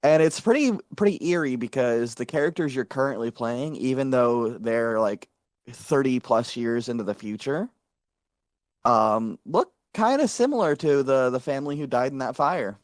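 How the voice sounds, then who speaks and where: A man's voice: medium pitch, animated, moderate pace, dry recording. A man, a VTuber guest, chatting casually in an interview with lots of fillers and restarts. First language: English. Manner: rambling, many fillers and restarts.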